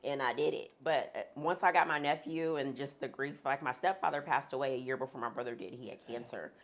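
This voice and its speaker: A woman speaking frankly as a guest in a sit-down interview, talking with humorous asides in a deep, husky voice. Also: brisk. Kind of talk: candid sit-down conversation.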